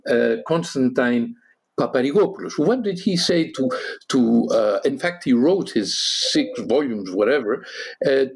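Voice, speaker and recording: A husky-voiced man talks as a guest on a discussion podcast, pausing often on fillers as he works through his thoughts. He jumps up high and loud whenever he quotes someone. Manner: halting, many fillers, rises sharply for quotes. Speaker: guest historian. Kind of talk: animated discussion, podcast panel.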